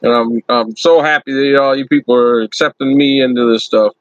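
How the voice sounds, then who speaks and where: A man hosting his own channel, chatting loudly and casually to viewers on a first live stream from his computer. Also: medium pitch, fairly even intonation, fairly quick, dry recording, highs cut off. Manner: loud friendly talker. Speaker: YouTube channel host.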